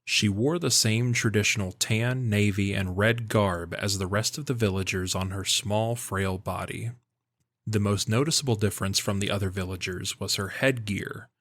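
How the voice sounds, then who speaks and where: A man's voice: on the low side, steady tone, moderate pace, a bit bright, dry recording, studio quality. A man reading scripted story narration for an audio drama, in the manner of an audiobook. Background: background music.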